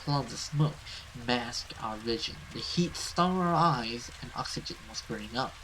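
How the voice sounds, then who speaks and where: Man, bright forceful voice, theatrical horror story narration, gamer reading aloud, slight noise behind. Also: medium pitch, animated, a little slow, low-quality recording. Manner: theatrical, with casual swearing asides. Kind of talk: dramatic let's play narration of a horror story.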